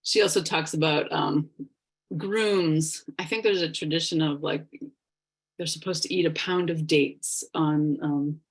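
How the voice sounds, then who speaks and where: A woman's voice: deep voice, steady tone, measured pace, breathy edge. A woman giving an online class presentation over a video call, thoughtful and hesitant, with many fillers.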